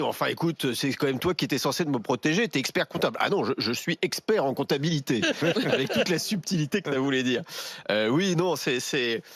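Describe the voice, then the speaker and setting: A man's voice: medium pitch, fairly bright tone. A man speaking as a radio panellist in casual discussion, often hesitating as he searches for words. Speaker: talk show panellist.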